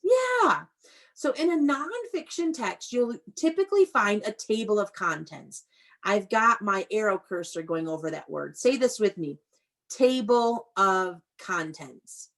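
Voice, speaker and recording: A woman's voice: medium pitch, animated, measured pace, fairly bright tone. Woman teacher leading an online read-aloud. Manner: instructive, prompting repetition, enunciating key words. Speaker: elementary school teacher. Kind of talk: interactive online read-aloud lesson for young children. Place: indoors, on a video call. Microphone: video call.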